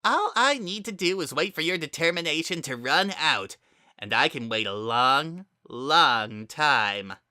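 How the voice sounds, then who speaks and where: A man's voice: fairly high pitch, expressive, unhurried, pushed and bright, no echo, clean studio sound, pitched above the performer's own voice, full character voice. A man voicing a menacing young demonic villain with a theatrical, sneering and coldly formal manner in a storybook reading. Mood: mocking, bitter.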